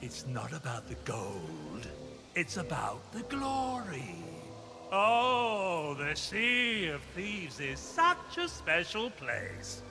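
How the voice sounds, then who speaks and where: An actor playing a domineering undead pirate villain in a video game, gravelly, grandiose and sneering, relishing each word. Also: male voice, on the high side, wildly swooping pitch, takes it slowly, heavily acted. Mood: sneering, triumphant. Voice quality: gravelly, creaky. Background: prominent music.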